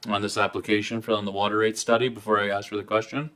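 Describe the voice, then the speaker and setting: A man chairing a council meeting, moving through motions in a brisk, friendly, procedural way. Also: on the low side, little pitch movement, fairly bright tone. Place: council chamber.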